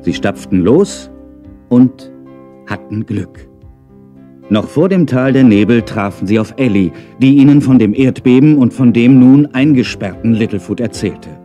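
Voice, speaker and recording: A man's voice: medium pitch, expressive, a little slow, dark tone, soft background music, natural voice. A middle-aged man narrating a children's story in a playful way, with wry, chatty asides.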